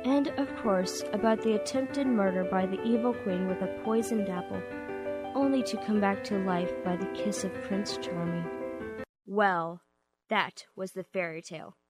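Woman narrating over loud music. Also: mid-range voice, moderate pace. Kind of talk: scripted storytelling introduction.

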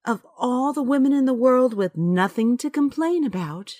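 An actress playing a frank, kindly and loyal playwright's wife in a radio drama, with an earnest, emphatic delivery. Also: female voice, sits high, lively intonation, moderate pace, dark tone, no echo, studio quality. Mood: exasperated, pleading. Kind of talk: radio drama acting and narration.

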